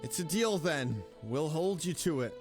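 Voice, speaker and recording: A woman's voice: sits low, animated, a little slow. A woman streaming a game, reacting casually with short exclamatory asides. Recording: game music behind the voice.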